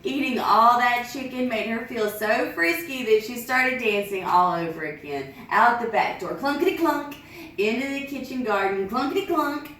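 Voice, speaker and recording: A woman's voice: a little high, normal speed. Woman reading a story in an echoey room. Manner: lively, sing-song sound effects. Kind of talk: reading a picture book aloud. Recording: echoey room, distant mic.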